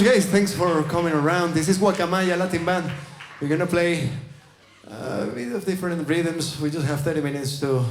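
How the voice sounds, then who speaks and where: Venezuelan frontman, high voice, spanish accent, upbeat stage talk, big echoey room with band music. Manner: lively, upbeat, calls out to the crowd. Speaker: band leader announcing on stage. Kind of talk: live stage banter. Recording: echoey live venue with band.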